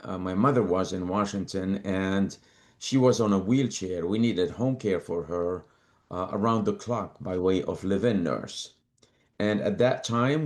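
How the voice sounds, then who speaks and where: An older man from Lebanon, a company co-founder talking as a guest in an interview, measured and prone to repeating words as he gathers his thoughts. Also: fairly low pitch. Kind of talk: interview conversation.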